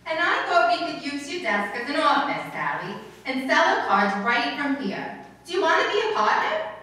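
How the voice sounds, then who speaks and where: Woman playing a high-pitched, ditzy radio-comedy wife, echoey hall. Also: big pitch swings, moderate pace, bright, forceful tone, exaggerated voice. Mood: persuading. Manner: ditzy, emphatic, breezy malapropisms. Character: scatterbrained chatty wife, muddling words, blithely confident schemer. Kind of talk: old-time radio comedy acting on stage. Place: live stage in an indoor hall, distant camera. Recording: echoey hall, far from microphone.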